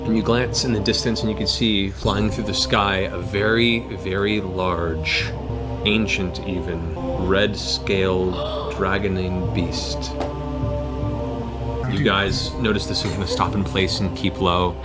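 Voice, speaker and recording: A man's voice: low voice, flat delivery, unhurried, loud music behind the voice. A man narrating a tabletop fantasy game as game master, with dramatic, descriptive storytelling.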